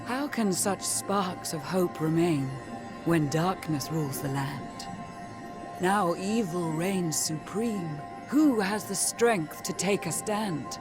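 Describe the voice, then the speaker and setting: An actress playing a solemn storyteller in a fantasy video game, narrating in a grave, poetic, measured way. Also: female voice, lowish voice. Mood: ominous. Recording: music clearly under the voice.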